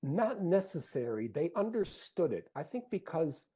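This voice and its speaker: An older American man with a very husky, rough-edged voice and a dark, relaxed tone. He recalls events conversationally, as a professor being interviewed. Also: on the high side, unhurried.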